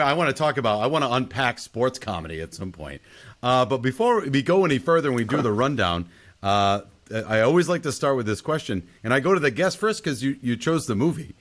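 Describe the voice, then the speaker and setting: A man's voice: mid-range voice, fairly bright tone. A man co-hosting a podcast, chatting casually in a jokey manner. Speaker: podcast co-host. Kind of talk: casual chat.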